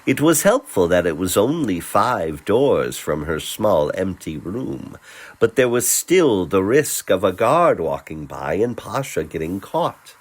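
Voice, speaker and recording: A man narrates a dramatic story in a low, husky voice. His phrasing is slow and deliberate, set off by theatrical pauses. The pitch moves widely as he tells it. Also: big pitch swings. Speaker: narrator, voice performer.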